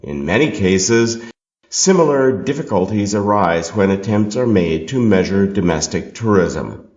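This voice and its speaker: In a dull, echoey room, a man reads exam material from a script. His delivery is slow and deliberate, and his intonation rises and falls noticeably as he sets out each instruction. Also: on the low side, animated, a little mellow. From Canada. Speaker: exam passage reader. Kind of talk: scripted reading of test instructions and questions.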